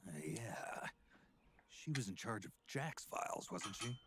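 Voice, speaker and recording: A male actor playing a gruff, world-weary old veteran soldier in a video game, with a growling, sardonic, terse delivery. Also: lowish voice, animated, unhurried, fairly bright tone, a little hiss or hum. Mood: dry, sardonic. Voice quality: gravelly, growling. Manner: terse, dry, questioning.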